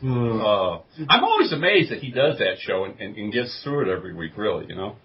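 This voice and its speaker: A man co-hosting a podcast, chatting casually in a husky voice. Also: fairly low pitch, big pitch swings, brisk. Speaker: podcast co-host. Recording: slightly dull lo-fi audio.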